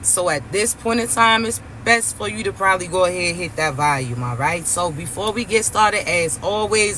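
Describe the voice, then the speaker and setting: A raspy man with a bright, high-set voice delivers an emphatic spiritual message, slowing down to press each point home. Faint traffic noise hums behind him outdoors. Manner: slow, emphatic, addresses listeners as chosen ones. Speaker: spiritual reader.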